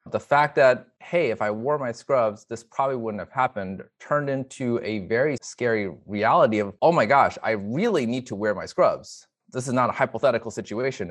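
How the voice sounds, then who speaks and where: A man speaking earnestly and reflectively as he gives a personal account in an interview, often repeating words for emphasis. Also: on the low side. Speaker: interviewee, emergency physician.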